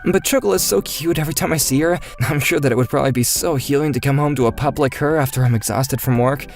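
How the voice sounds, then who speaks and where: A man's voice: mid-range voice, fast talker, music in the background. A man narrating a scripted first-person story as its narrator.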